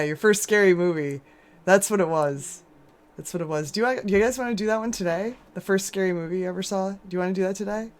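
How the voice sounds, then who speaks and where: A woman streaming and chatting casually with viewers, with a hoarse, scratchy voice from a sore throat and a rambling, chatty way of talking. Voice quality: lower and scratchier than usual. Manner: rambling, chatty, with frequent restarts and laughs. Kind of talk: casual chat while gaming.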